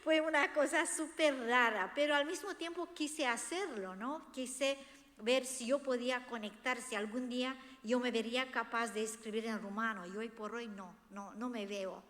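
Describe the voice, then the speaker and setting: A woman whose native language is Romanian speaks in a fairly high voice, with long reverb from the hall around her. She is earnest and expressive, sharing a personal talk as an author addressing an audience. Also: normal speed. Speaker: author presenting her book. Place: hall of a cultural institution, before a seated audience.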